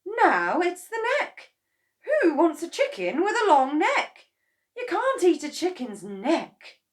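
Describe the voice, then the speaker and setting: A grumbling, sceptical farmer's wife with a tart, complaining tone, as a woman reading a storybook puts her on. She speaks slowly and drawls her doubts. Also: a little high, big pitch swings, voice pushed up. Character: grumpy, sceptical farmer's wife, middle-aged.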